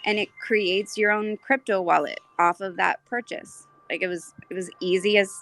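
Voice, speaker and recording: A woman's voice: medium pitch, fairly even intonation, normal speed, fairly bright tone. A woman talking casually and reflectively in an online group discussion, earnestly thinking aloud and hedging as she goes. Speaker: speaker in an online audio community discussion.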